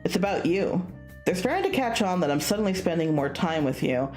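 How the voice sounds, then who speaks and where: A woman's voice: fairly low pitch, big pitch swings, fairly quick, a little mellow, faint music behind. A woman voicing an anxious young male student in a visual novel, hesitant, self-conscious and a little sulky. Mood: sulky, worried.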